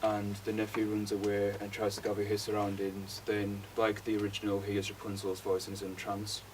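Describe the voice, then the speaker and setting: Young male student presenter, deep and utterly flat delivery, recorded at a distance with faint noise. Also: fairly quick. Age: young adult. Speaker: college student presenting a project. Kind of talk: informal class presentation.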